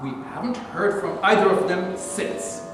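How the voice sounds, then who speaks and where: A man's voice: high voice, measured pace, music in the background. A man playing a blunt, needling small-town police detective in a staged reading of a play. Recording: very echoey hall, distant mic, clear noise.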